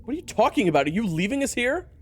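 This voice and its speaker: A man playing an exasperated, anxious fantasy adventurer who narrates his own tale in an audio roleplay, with dramatic, expressive delivery. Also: extremely high pitch, rapid-fire, slight background noise. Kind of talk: audio roleplay acting and first-person narration. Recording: roomy reverb under loud music.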